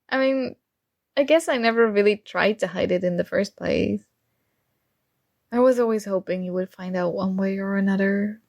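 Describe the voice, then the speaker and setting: A woman's voice: mid-range voice, creaky, darkish tone, dry recording, studio quality. A woman acting a young roommate who is shy, teasing and smitten with a friend, in a playful, conversational ASMR roleplay. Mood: flustered surprise, then shy, fond.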